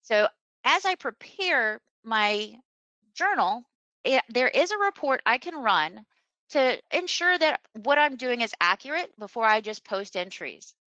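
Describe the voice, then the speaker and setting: A woman presenting a webinar as a software trainer, explaining a screen demonstration step by step in an unscripted way. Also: fairly high pitch, very animated, unhurried, no room sound, dull audio. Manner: instructional, step-by-step.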